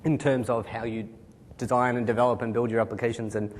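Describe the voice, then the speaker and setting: A man with a hoarse voice talks through a tech presentation from a large conference stage. He speaks quickly and casually, leaning on fillers. The sound carries a long hall reverb over a faint low rumble. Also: level tone. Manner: quick, informal, kind-of fillers. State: losing his voice, coughing.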